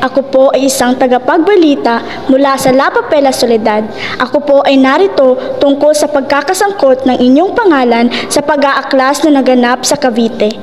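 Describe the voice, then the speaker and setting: A woman's voice: high voice, normal speed, a little mellow, reverberant, long reverb tail, natural voice. A young woman in a student radio drama playing a polite reporter who speaks in formal phrasing. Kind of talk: amateur radio drama acting.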